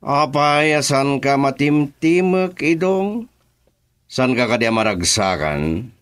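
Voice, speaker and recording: Swaggering, gloating crime boss, animated delivery. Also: male voice, medium pitch, slow, clear, resonant tone. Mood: teasing, bossy. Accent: Ilocano. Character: gloating male crime boss, domineering and jovial. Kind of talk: Ilocano radio drama acting.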